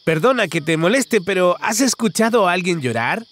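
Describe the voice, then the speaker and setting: A man's voice: high voice, lively intonation, moderate pace, fairly bright tone, a little hiss or hum. A man narrating a children's cartoon in an exaggerated, singsong way, talking to the characters.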